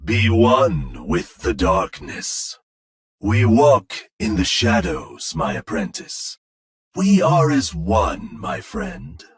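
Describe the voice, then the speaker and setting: An actor playing a solemn, mystical monk guardian in a video game, husky and formal, with measured, ominous phrasing. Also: male voice, on the high side, sing-song, no echo, exaggerated voice. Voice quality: husky, breathy. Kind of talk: video game character voice lines.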